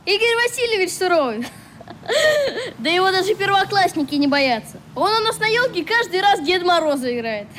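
A woman in a radio play voicing an eager, earnest young schoolboy with an animated manner. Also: very high voice, fairly quick, a little hiss or hum, exaggerated voice. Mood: cheerful earnest. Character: eager, excitable young schoolboy in a children's club.

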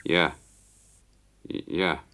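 An actor playing the anguished, halting brain of a dead man kept in a tank, in a science-fiction radio drama. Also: bright, forceful tone. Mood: dazed, disoriented. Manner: halting, laboured, slow.

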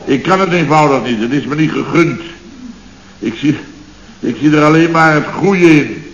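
A man's voice: a little high, normal speed, close to an everyday voice. An actor playing a self-important, muddled male contractor boss in a vintage radio comedy, blustering and stammering as he repeats himself. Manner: pompous, repetitive, stammering bluster. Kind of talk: 1970s radio comedy acting. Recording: roomy, muffled, noisy old radio recording.

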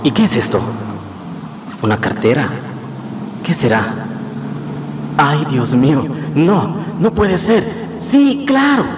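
An actor playing a nervous, guilty husband who mutters and exclaims in a radio drama. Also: male voice, on the high side, expressive, slow pace, long pauses. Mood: alarmed. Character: nervous, guilty husband, secretly panicking while driving. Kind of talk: Spanish-language radio drama acting. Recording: noisy 1990s radio drama with car sounds.

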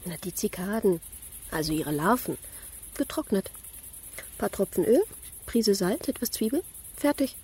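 An actress in a German radio play playing a homely, fond wife with a teasing manner. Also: female voice, medium pitch, sing-song, unhurried, long pauses, darkish tone, slightly rough, exaggerated voice.

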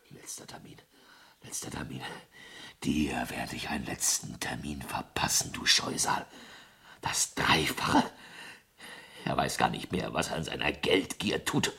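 An actress playing an exasperated, vengeful blackmail victim in a German radio drama, volatile and venomous. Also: female voice, gravelly, a bit bright, breathy edge, full character voice. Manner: swings from shrill outbursts to venomous hissing mutter.

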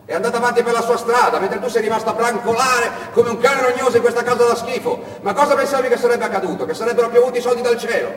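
A man's voice: extremely high pitch, fairly even intonation, very fast, hardly any pauses, fairly bright tone, pitched above the performer's own voice. A man playing a blunt, mocking friend who scolds in exasperation, in a stage monologue. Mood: mocking, exasperated. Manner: exasperated, hectoring. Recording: echoey hall from far off, noisy.

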